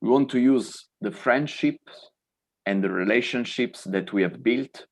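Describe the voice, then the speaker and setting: A man's voice: medium pitch, measured pace. A man in his twenties from Italy, hosting an online event and switching easily between English and Italian. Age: 20s. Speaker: event host.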